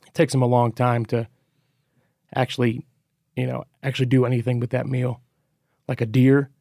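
A man's voice: mid-range voice, flat delivery, normal speed, creaky, dark tone, no echo, clean studio sound. A man in a casual podcast chat, talking in a laid-back, hesitant way.

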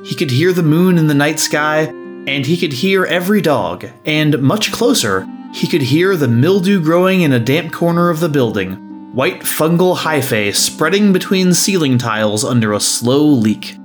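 A man's voice: fairly high pitch, lively intonation, normal speed, soft background music. A man narrating a scripted story.

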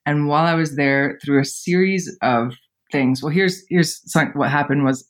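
A woman in her thirties, a podcast guest telling personal stories casually, with frequent fillers and laughter. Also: a bass voice. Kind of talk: casual storytelling interview.

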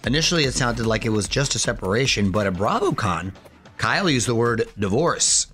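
A man's voice: mid-range voice, big pitch swings, a bit bright, soft background music. A man hosting a radio show, chatting casually with a guest and reading entertainment news in an upbeat way. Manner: upbeat, chatty, quick asides.

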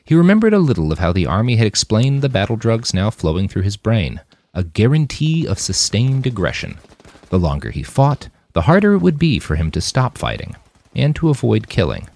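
A low-voiced man narrates action fiction. His pitch swings widely across each sentence, and the recording is close and dry. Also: wildly swooping pitch, brisk, darkish tone, no echo. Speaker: author reading his own fiction on a podcast. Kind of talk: audiobook-style narration of an action novel.

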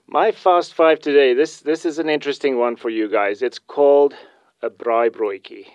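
Man, South African accent, native Afrikaans speaker, relaxed halting talk, muffled audio. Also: mid-range voice, smooth, no echo. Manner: relaxed, halting, repeats words. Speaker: winery guest calling in. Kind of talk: casual recipe chat.

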